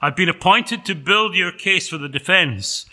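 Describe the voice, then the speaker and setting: A man's voice: high-pitched, expressive, normal speed, sharp tone, pitched above the performer's own voice, heavily acted. A man voicing an eager, flustered angel who pleads like a starstruck defense counsel in a sermon sketch.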